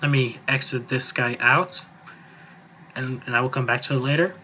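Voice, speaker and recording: Bright-voiced man, dull lo-fi recording. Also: mid-range voice, steady tone, normal speed, slight background noise. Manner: informal, rambling step-by-step explaining. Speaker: music software tutorial presenter. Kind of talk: casual, improvised screen-recorded tutorial. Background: voice-over on a screen recording.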